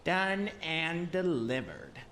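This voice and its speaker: A woman's voice: sits low, sing-song, takes it slowly, fairly bright tone, exaggerated voice. A woman playing a cocky, nonchalant young lad, the easygoing hero of a fairy tale radio play.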